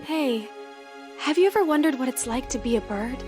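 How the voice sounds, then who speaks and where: A woman's voice: high voice, animated, moderate pace. An actress playing a playful, dreamy young woman with a casual, teasing manner in a video game. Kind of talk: video game cutscene acting. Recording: loud music behind the voice.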